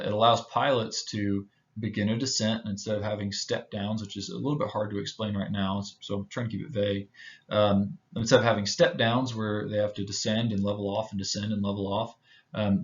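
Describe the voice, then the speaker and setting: An American man from Houston giving an informal slide presentation, speaking hesitantly with many filled pauses. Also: on the low side, muffled recording. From Houston, United States. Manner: halting, hesitant delivery with frequent filled pauses. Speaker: presenter, pilot.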